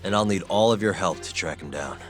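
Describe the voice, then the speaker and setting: An actor playing a serious detective who speaks in a calm, measured, matter-of-fact way. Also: male voice, low voice, soft background music. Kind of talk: video game cutscene voice acting.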